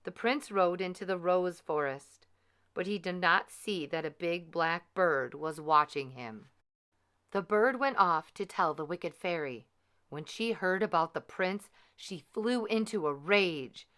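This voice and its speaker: A woman reading a children's storybook aloud in an expressive, measured, dramatic way. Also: lowish voice, clear, resonant tone.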